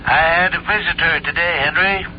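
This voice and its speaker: A high, querulous voice of a selfish old man, acted by a man, smug and spiteful. He is a sickly rich uncle in a radio play, heard on a dull recording with faint noise. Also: steady tone, a little fast, lightly acted. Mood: matter-of-fact. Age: older. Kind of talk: 1970s radio drama acting.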